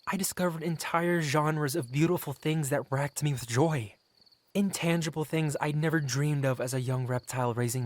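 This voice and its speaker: A man's voice: mid-range voice, natural voice. A man playing a wealthy, covetous dragon in human form, speaking eloquently and formally in a theatrical ASMR roleplay monologue. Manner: eloquent, formal, courtly, self-satisfied.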